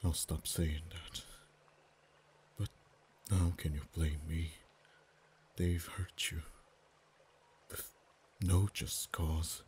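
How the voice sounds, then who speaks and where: A man voicing a protective male dragon in human form, tender yet teasing, speaking softly and often in a whisper for an ASMR roleplay. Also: low voice, level tone, very slow, pauses often, slight background noise. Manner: soft, hushed, often whispered.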